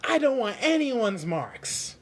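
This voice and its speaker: Plaintive little wooden puppet fellow, high-pitched, read by a man. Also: sing-song, takes it slowly, exaggerated voice. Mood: wondering. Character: small sad wooden male puppet person, insecure but earnest.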